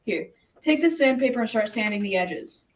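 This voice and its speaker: A woman's voice: on the high side, expressive, moderate pace, natural voice. A female amateur performer playing a kindly woodworking grandfather in a school radio drama. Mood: kindly, instructive. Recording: distant mic, noisy school recording.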